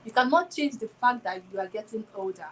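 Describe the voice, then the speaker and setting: A woman's voice: on the high side, expressive, fairly quick, a bit bright, a little hiss or hum, poor audio. A woman in her twenties hosts a solo motivational talk, speaking reflectively and returning again and again to rhetorical questions. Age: 20s. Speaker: podcast host.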